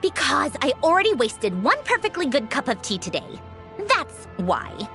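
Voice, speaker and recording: A woman's voice: sits high, big pitch swings, moderate pace. An actress voicing a poised, sardonic female Fatui Harbinger in a video game, cool and composed, with faint music behind. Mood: dry, sardonic.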